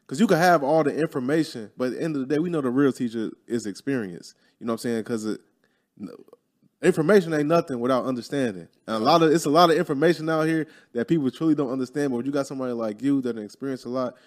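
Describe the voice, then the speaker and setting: Fast-talking young man hosting a podcast. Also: mid-range voice. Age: 20s. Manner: loose, easygoing slang-filled talk. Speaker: podcast host. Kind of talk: casual interview chat.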